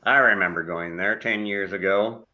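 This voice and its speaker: A man's voice: lowish voice, moderate pace, lo-fi sound, natural voice. A man playing a grumpy older widowed neighbor in a stage play, blunt and dryly complaining. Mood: cranky, ranting.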